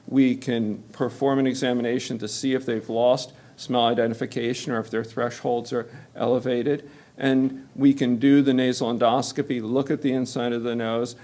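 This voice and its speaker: A middle-aged American man with a measured, explanatory manner, giving a lecture at a medical seminar. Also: medium pitch, a little mellow. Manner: measured explanatory delivery, occasional fillers. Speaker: physician presenting at a medical seminar. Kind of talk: lecture to health care workers.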